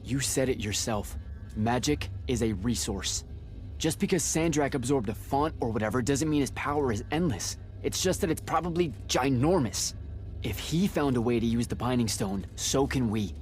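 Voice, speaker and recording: A man's voice: mid-range voice, expressive, normal speed, faint music behind, lightly acted. A man playing a brash, irreverent young battlemage recruit in a video game, with a casual, informal way of talking. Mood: cheeky, defiant. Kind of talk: video game cutscene acting.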